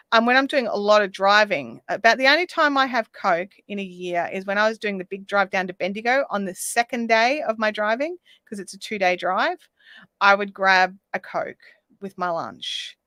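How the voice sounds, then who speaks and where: A woman hosting a craft livestream, chatting casually and reading out viewer comments while she crochets, her voice slightly raspy. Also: medium pitch, animated, normal speed, a bit bright, dry recording. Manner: chatty, reads viewer comments aloud, counts stitches under her breath. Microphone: desk microphone.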